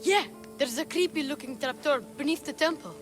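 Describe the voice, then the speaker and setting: A woman's voice: high voice, fairly quick, close to an everyday voice. A woman voicing a young, defensive, streetwise treasure hunter in a game cutscene. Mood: eager. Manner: defensive, streetwise, a bit whiny. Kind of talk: video game cutscene acting. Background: game music.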